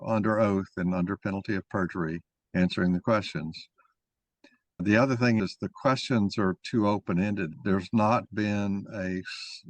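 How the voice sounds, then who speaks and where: A man arguing a point in informal discussion, halting and rephrasing as he goes as the moderator. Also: deep voice, dry recording. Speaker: moderator and main speaker of a mock-trial discussion.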